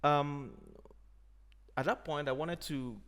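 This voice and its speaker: A man from Nigeria, an Igbo speaker, talking in a thoughtful, unhurried way as a podcast host. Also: fairly high pitch, fairly bright tone. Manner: thoughtful, unhurried, frequent "you know". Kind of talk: reflective conversation.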